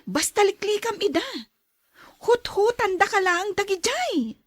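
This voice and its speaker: Lady doing a bossy jealous girl in a radio play, high scolding voice, swoops around, young and haughty. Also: expressive, unhurried, no room sound, clean studio sound. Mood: possessive. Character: jealous, possessive, haughty young woman threatening her rivals. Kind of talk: audio drama acting.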